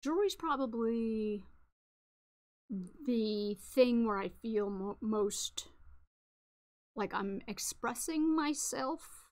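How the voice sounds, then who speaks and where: A woman around forty with a raspy, fairly low voice, hosting a casual craft livestream and chatting with viewers in a rambling way with lots of ums. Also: expressive, slow, long pauses, a little mellow. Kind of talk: casual live chat answering viewers.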